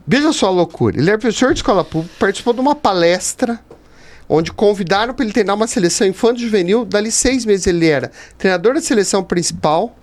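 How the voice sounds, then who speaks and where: A man's voice: sits high, expressive, quick pace. A Brazilian man in his fifties answering conversationally as a podcast guest, explaining things emphatically with rhetorical asides. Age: 50s. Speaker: podcast guest, teacher and writer.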